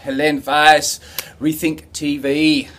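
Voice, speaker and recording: A man co-hosts with playful asides and teasing remarks, his voice sitting a little high for a man. He sounds some distance from the microphone. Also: flat delivery, slow pace, fairly bright tone. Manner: joking, playful interjections. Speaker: co-host.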